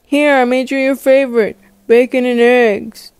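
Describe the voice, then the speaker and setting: A woman's voice: high voice, little pitch movement, unhurried, music in the background. A woman voicing a caring, nagging dad in a cartoon game roleplay, reassuring and mildly insistent. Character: caring, nagging dad of a high-school girl.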